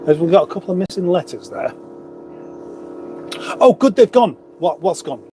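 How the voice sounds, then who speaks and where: A man's voice: high voice, sing-song, normal speed, warm tone, a little hiss or hum. A man with a runny nose, hosting a casual livestream, rambling chattily with wry asides as he reads out comments. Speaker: livestream host. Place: garage.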